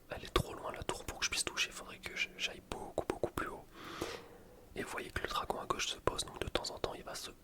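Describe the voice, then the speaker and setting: A man whispering casual, slangy ASMR commentary over gameplay, explaining things in a rambling way. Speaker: gaming ASMR video creator.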